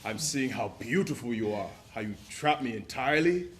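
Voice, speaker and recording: A man's voice: medium pitch, expressive, normal speed, fairly bright tone, slight background noise, lightly acted. An actor playing a passionate stage actor who coaxes his scene partner and declaims verse with swelling volume. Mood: coaxing. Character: passionate male stage actor rehearsing a romantic lead. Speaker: actor in a dramatized rehearsal. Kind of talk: period drama acting, reciting verse.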